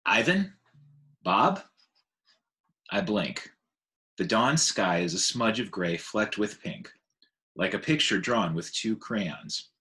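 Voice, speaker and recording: A man reading a children's book aloud in an expressive narrating style. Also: lowish voice, takes it slowly.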